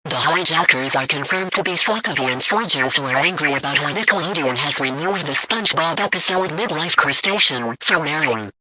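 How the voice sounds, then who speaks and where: Guy doing a formal emergency broadcast read, kinda high voice, really bright and crisp edged. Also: no room sound, low-quality recording. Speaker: emergency alert announcer. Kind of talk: scripted emergency broadcast announcement.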